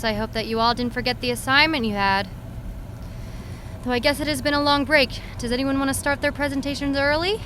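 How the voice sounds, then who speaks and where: Casting: actress as female middle school teacher for audio drama, encouraging, instructive, voice on the high side. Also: slight background noise, natural voice. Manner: encouraging, instructive, gently coaxing.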